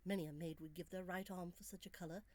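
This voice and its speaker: A woman's voice: fairly low pitch, fairly even intonation, fast, dark tone, dry recording, lightly acted. A woman voicing a bold, melancholy young Victorian Englishwoman in a storybook, candid and earnest, with formal, emphatic phrasing. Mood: bold, frank.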